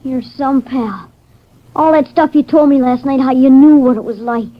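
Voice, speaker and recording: Woman playing a high-voiced tough young runaway boy. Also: normal speed, natural voice. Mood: defiant, hurt. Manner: defiant streetwise slang, childlike earnestness. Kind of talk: 1950s radio drama acting. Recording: dull vintage 1950s radio recording.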